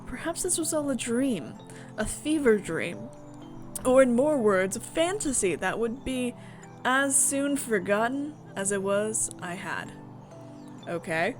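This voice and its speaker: A woman streamer reading a visual novel aloud with theatrical, expressive narration and casual asides. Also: a little high. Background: music.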